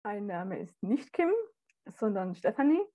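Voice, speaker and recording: A 26-year-old woman, a student podcast co-host, speaking casually and haltingly with filler sounds. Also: medium pitch, very animated, phone-quality sound. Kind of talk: casual chat.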